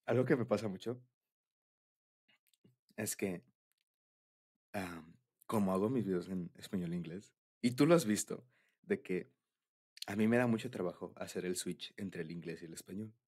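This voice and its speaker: Young male, Mexican accent, deep and breathy, for shy, intimate boyfriend-style ASMR talk. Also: normal speed, long pauses, darkish tone. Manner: bashful, hesitant, giggly. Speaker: ASMR roleplay creator.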